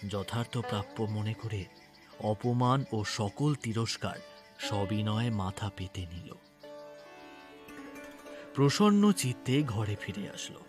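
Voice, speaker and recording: Male narrator, medium pitch, slow expressive delivery, literary storytelling, prominent music bed. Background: background music.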